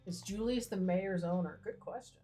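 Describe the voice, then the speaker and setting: A woman co-hosting a game livestream, casually commenting and reading choices aloud in a wry, expressive way. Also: medium pitch, moderate pace, soft background music. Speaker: livestream co-host playing a story game.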